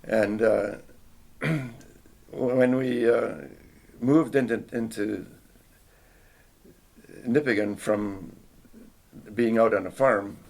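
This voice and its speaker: A man's voice: mid-range voice, extremely slow, pauses often, a little mellow. An older man whose first language was Finnish, recalling his childhood in a hesitant, reflective oral history interview. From Canada. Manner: hesitant, reflective, frequent restarts and throat clearing.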